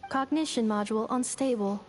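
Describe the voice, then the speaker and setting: A woman's voice: fairly high pitch, fairly quick, faint music behind, natural voice. A woman voicing a polite, composed young robot maid in a video game, speaking in clipped, literal status reports. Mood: flat, analytical.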